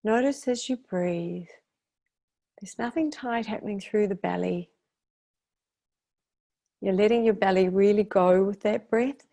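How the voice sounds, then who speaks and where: A woman guiding a meditation as its teacher, speaking in a soothing, unhurried way with long pauses. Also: mid-range voice, creaky, mellow. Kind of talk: guided meditation.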